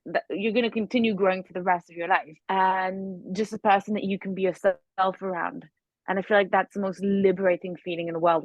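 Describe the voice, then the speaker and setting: A woman's voice: medium pitch, no echo. Rambling woman podcast co-host, dull dry sound. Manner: rambling, reflective, many restarts. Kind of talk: casual chat.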